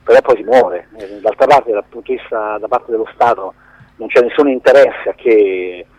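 A man talks as a guest in a radio interview. His pitch is on the low side and his phrasing is reflective and halting. The remote connection makes him sound slightly muffled. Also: a little mellow. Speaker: film producer, interview guest. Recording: dull band-limited phone-like radio link.

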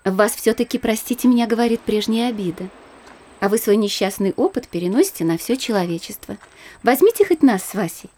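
A young married granddaughter in a literary audio drama. Her voice is fairly high yet dark and breathy, and she speaks with earnest, gentle, well-mannered insistence. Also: animated, brisk. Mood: earnest, objecting. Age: young adult. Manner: earnest, pleading, polite.

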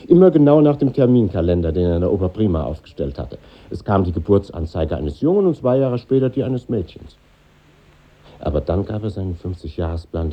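An actor playing a middle-aged man who soberly recalls his old classmates in a radio play, in a husky, measured, matter-of-fact voice. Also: low-pitched. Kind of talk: 1950s radio drama narration. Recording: muffled 1950s radio recording.

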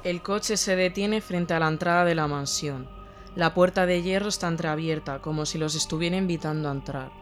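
Higher-pitched man narrating horror fiction. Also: normal speed, faint music behind. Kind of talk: scripted horror story narration.

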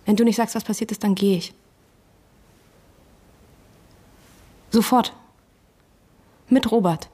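An actress playing a hurt, exasperated wife in a radio drama, pressing for answers in an accusing, emotional, insistent way. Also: female voice, medium pitch, moderate pace, dark tone, slightly rough, lightly acted. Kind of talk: naturalistic radio drama acting.

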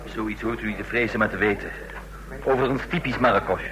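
An actor in an old radio play, playing a needling, scornful intelligence official with a curt, insinuating manner. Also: male voice, lowish voice, fast talker, crowd noise, lightly acted. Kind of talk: mid-century radio play acting. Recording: noisy, humming old radio recording.

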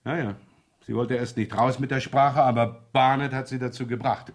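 A man acts a middle-aged police inspector in a 1970s German radio crime play. His voice sits at an ordinary male pitch. He probes with dry sarcasm and a pretence of being scatterbrained. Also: steady tone, normal speed, close to an everyday voice. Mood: brisk, wry. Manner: feigned absent-mindedness, dry sarcasm, probing.

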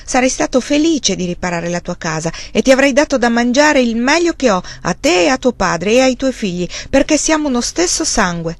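A woman voicing a greedy old man, a village uncle who turns from shrill and trembling to fawning and wheedling. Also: sing-song, a little fast, few pauses, no echo. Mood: self-pitying. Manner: shrill and trembling when angry, fawning when begging.

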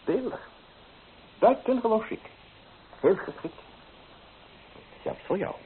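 A man's voice: high voice, sing-song, takes it slowly, a little hiss or hum, exaggerated voice. Tinny radio-play recording, sardonic flirtatious man. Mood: teasing, flirtatious. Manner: teasing, theatrical, persuasive.

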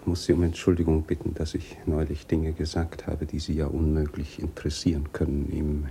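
An actor playing a weary, bitter, resigned husband in a period radio drama, halting and subdued, sometimes half-whispering. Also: male voice, extremely low pitch, monotone, gravelly, mellow, slight background noise.

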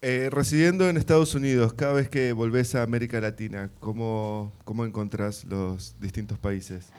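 A man's voice: medium pitch, steady tone, normal speed, darkish tone. Argentine man hosting live radio. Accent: Argentine Spanish. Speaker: radio host, interviewer.

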